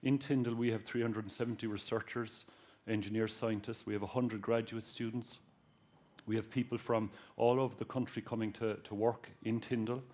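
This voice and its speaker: A man's voice: lowish voice, little pitch movement, normal speed, a little mellow. Joking man with a Cork accent. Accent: Cork Irish. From Ireland. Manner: joking, addresses the audience directly. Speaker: guest speaker, research engineer. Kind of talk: public talk to students.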